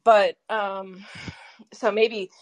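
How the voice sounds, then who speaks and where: A woman's voice: mid-range voice, flat delivery, extremely slow, dry recording. A woman hosting a podcast, talking in a casual, rambling way with many restarts and fillers. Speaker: podcast host. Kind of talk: casual political chat.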